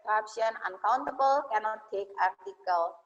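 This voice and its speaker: A woman's voice: mid-range voice, moderate pace, dull audio. Indonesian woman teaching grammar, echoey webinar audio. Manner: patient, explanatory, with many fillers and self-corrections. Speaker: English teacher.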